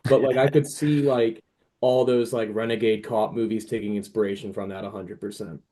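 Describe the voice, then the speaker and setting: A man's voice: lowish voice, little pitch movement, normal speed, warm tone. A man speaking as a podcast guest and author, chatting casually about books in an informal, emphatic, opinionated way. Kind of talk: casual book chat.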